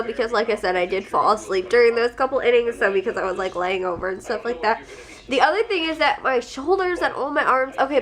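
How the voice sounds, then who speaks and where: A woman's voice: fairly high pitch, a bit bright. A young woman vlogging at home while sick and congested, talking casually and rambling with frequent laughs. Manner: rambling, chatty, laughs often. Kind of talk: casual chat to camera.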